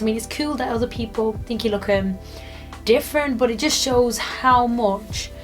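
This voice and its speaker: A British woman in her twenties from the UK, chatting casually to camera in a rambling vlog with lots of fillers. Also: on the high side. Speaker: YouTuber.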